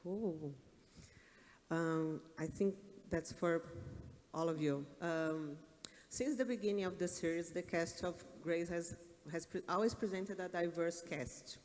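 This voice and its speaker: Guy moderating a panel, kinda higher voice, slow and halting, big echoey hall. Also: darkish tone. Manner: hesitant, halting phrasing. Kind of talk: panel interview questioning, halting. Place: large indoor conference hall.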